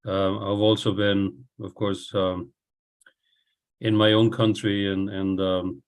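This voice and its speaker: Deep flat-voiced older man. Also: smooth. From Iceland. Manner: rambling, unhurried, self-deprecating. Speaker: guest speaker. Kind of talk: casual online talk. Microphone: computer on a video call.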